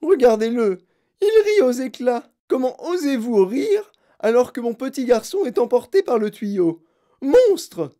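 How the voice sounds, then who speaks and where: A woman voicing a frantic, overbearing mother who shrieks and pleads in a shrill voice, as a storybook reading. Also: very animated, brisk, few pauses. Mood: outraged. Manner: hysterical, yelling and pleading.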